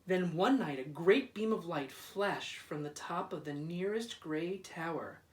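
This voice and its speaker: A man gives an expressive read-aloud of a children's story. His pace is very unhurried and deliberate, with drama in the phrasing. The sound is echoey and picked up from some distance. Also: a little high. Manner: deliberate, dramatic, drawn-out repeated words. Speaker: school principal reading aloud. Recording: roomy echo, distant microphone.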